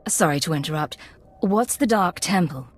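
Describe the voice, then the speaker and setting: A woman's voice: lowish voice, lively intonation, normal speed, faint music behind. A woman playing a cool, self-possessed Imperial agent in a video game, speaking directly. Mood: businesslike.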